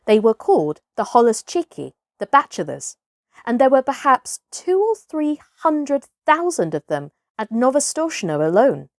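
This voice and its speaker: Female children's audiobook narrator: higher-pitched, highly animated, theatrical delivery. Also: normal speed, no room sound, clean studio sound. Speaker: storyteller, actress reading aloud.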